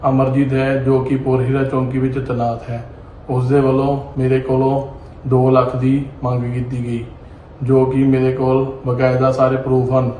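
A young man speaks in a flat, even tone at a middling pitch. His voice sits in a roomy echo with some noise on the recording. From Punjab, India. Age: young adult. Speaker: complainant.

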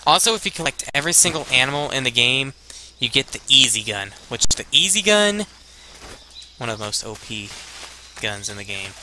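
A young man giving casual, halting gameplay commentary as a let's play host, rambling and muttering to himself into a headset. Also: mid-range voice, animated, bright, forceful tone, a little hiss or hum. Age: young adult.

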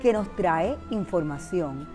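A woman host with a lowish voice announces a guest, speaking slowly and deliberately. Music plays clearly underneath. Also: lively intonation. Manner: slow, announcing tone. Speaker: show host. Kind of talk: presenting a guest. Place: studio set, indoors. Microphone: lapel mic.

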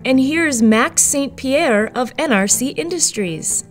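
A woman's voice: high-pitched, expressive, slow pace. A woman host giving an upbeat, scripted promotional voice-over. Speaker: show host and narrator.

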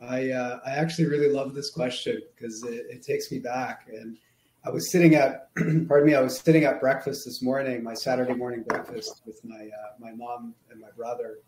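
A man's voice: medium pitch, steady tone, darkish tone, a little hiss or hum. Friendly man in an echoey room. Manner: friendly, halting with fillers. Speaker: guest, chiropractor. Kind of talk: casual interview chat. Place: plain room, indoors. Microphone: video call.

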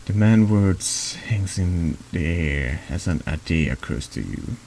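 Very deep, gravelly man reading slowly. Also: animated, darkish tone, slight background noise. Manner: halting reading, stumbles over longer words. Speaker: streamer reading a text horror game aloud. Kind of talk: slow scripted narration, soft horror ASMR.

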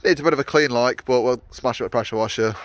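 A man's voice: mid-range voice, fast, dry recording. Rambling man in a workshop. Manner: rambling, many fillers and restarts. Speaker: vlogger, workshop owner. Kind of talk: casual vlog narration. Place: outdoor yard and workshop.

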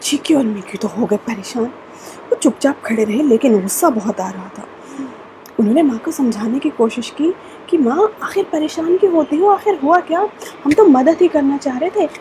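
A woman telling a children's story, expressive and acting out the lines, in a room at home. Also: sits high, moderate pace, slight background noise, poor audio. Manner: expressive, acts out characters. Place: indoor room with sofa.

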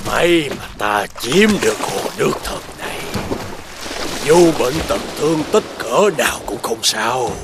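A man's voice: medium pitch, expressive, vocal fry, heavy background noise. A male actor voicing a vengeful, imperious monster hungry for immortality in a cartoon fairy tale. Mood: scheming. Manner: menacing, drawn-out, imperious delivery. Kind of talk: animated fairy tale voice acting. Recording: loud music under the voice.

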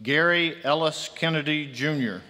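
Man, podium announcer, measured and unhurried, slightly high voice, long hall reverb. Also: bright, forceful tone. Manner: slow, measured, ceremonial delivery. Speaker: commencement name reader. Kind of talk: formal reading of graduates' names. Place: large auditorium with long reverb.

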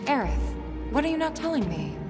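A woman's voice: high voice, very animated, moderate pace. A young American woman playing an earnest, caring, athletic fighter in a video game. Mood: worried, urgent. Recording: long reverb, game music behind.

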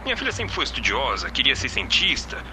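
A man's voice: mid-range voice, huge pitch swings, a little fast, noisy background. A man playing a bitter, grieving middle-aged Brazilian father in an audio drama. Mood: subdued, recalling.

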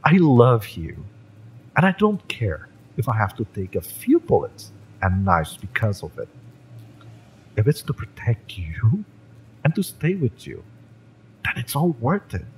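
A man playing a fiery, devoted mercenary in love, tough yet tender, in a romantic audio roleplay, with emphatic, halting delivery. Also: medium pitch, huge pitch swings, a little hiss or hum, poor audio. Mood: impassioned, pleading. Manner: impassioned, emphatic, halting delivery with breathy drops.

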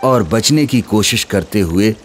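A man narrating a scripted children's moral story in an expressive storytelling manner. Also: on the low side, measured pace. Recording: music under the voice.

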